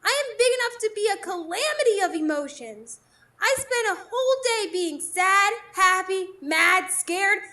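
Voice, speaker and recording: A woman's voice: very high-pitched, lively intonation, measured pace, pushed and bright, heavily acted. A woman playing a cheeky, muddled, childlike fairy beggar in a live radio drama, with playful theatrical delivery. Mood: whimsical, playful.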